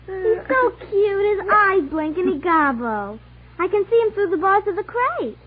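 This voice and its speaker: Very high-voiced little radio girl. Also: animated, unhurried, a loud crowd. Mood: pleading, adoring. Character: earnest, sweet little girl, the daughter. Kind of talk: 1950s-60s radio comedy acting. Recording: tinny, noisy old radio recording.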